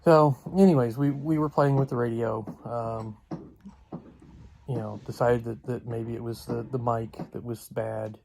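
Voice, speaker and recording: A man talking casually and unscripted for a vlog, in a halting, hesitant way with long pauses. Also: medium pitch, steady tone, creaky, noisy background. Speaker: vlogger.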